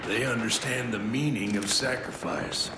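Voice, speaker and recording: An American actor playing a gruff, cocky, middle-aged CIA field agent in a video game, folksy and condescending. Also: male voice, mid-range voice, moderate pace, noisy background, natural voice. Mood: smug, assured. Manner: folksy, condescending, tough-talking. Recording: echoey game scene with battle ambience.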